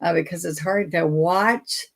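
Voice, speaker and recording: An older woman leads a painting lesson in a casual, chatty way, greeting viewers by name as she works. Her voice is low and gravelly, with a husky rasp that stays present throughout. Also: steady tone, a little slow. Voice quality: raspy. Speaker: painting teacher.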